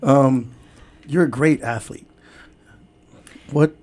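A man's voice: mid-range voice, fairly even intonation, slow pace, darkish tone. A man hosting a podcast, interviewing a guest in playful, teasing casual chat. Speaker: podcast host.

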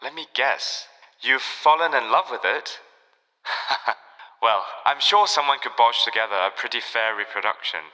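A chatty collector in an audio drama. His voice is very bright and sharp, ringing in a long reverb. He is breezy and wordy, friendly yet politely patronising. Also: medium pitch, measured pace. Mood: amused. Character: genial, chatty male collector, apologetic but firm friend.

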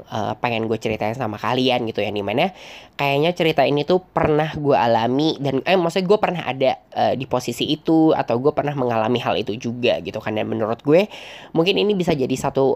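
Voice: mid-range voice, a little mellow. A young adult podcast host with a stuffy, nasal voice from a cold, telling a story in a rambling, chatty way with many hesitations. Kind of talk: casual solo storytelling.

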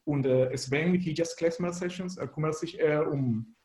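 A man's voice: fairly high pitch, moderate pace, darkish tone, echoey room. A man from Venezuela with a Venezuelan Spanish accent, answering casually as an interview guest and searching for words in a language that is not his native one. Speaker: interview guest, musician and graphic designer.

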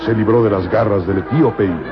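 A man's voice: lowish voice, fairly even intonation, a little fast, natural voice. A man narrating a scripted old-time radio adventure serial in a solemn, formal, dramatic way. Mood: dramatic, suspenseful. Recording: muffled old radio recording, loud music behind.

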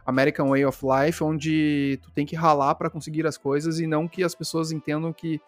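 A man with a fairly high voice asks questions in an easygoing interview. He talks loosely and hunts for his words as he goes. Faint music runs underneath. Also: little pitch movement, moderate pace. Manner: loose, hesitant, searching for words. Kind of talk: casual conversation.

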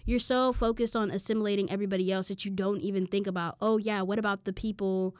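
A woman's voice: medium pitch, fairly even intonation, fast talker, a little mellow, clear, resonant tone, no room sound, clean studio sound. A young woman co-hosting a podcast in casual chat, blunt and slangy with emphatic asides. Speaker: podcast co-host.

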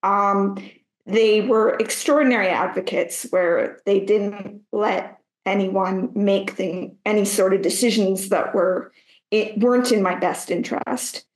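A woman's voice: mid-range voice, steady tone, measured pace, roomy sound, rough recording. A woman in her thirties with a Canadian accent, a podcast guest talking thoughtfully with frequent fillers. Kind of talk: conversational podcast interview.

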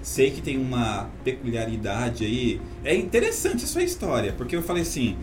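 A Brazilian man hosting a podcast as a lively, casual interview, speaking in an effusive, gushing way. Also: mid-range voice, normal speed, background noise. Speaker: podcast host. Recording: echoey room, music behind.

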